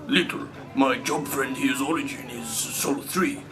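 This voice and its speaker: Gruff alien sidekick played by a man, broken halting speech, medium pitch, light music underneath. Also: lightly acted. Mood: guarded, halting. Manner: halting, clipped phrases.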